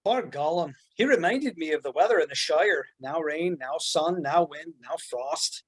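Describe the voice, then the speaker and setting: A man's voice: fairly high pitch, expressive, moderate pace, slightly rough. A man playing a humble, devoted hobbit servant, folksy and earnest, in a script read. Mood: mournful, earnest. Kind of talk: table read of a film script.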